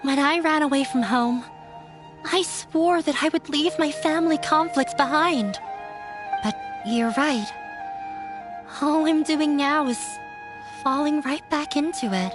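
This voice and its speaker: A woman's voice: sits high, unhurried. An actress playing a young woman in a video game who ran away from home, speaking earnestly and with resolve. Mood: troubled. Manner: earnest, growing determined. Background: game music.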